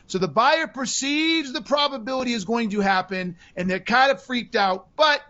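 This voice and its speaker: Podcast co-host, male, high-pitched, animated explainer, slightly dull recording. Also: moderate pace. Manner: animated, acts out buyer voices in quotes. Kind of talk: casual podcast chat.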